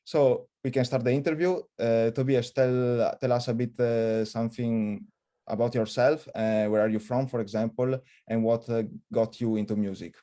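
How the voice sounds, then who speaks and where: Mellow-voiced male interviewer, hesitant. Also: mid-range voice, steady tone, moderate pace. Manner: hesitant, many fillers, laughs often. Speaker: interviewer host. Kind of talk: casual web interview. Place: virtual studio, remote call.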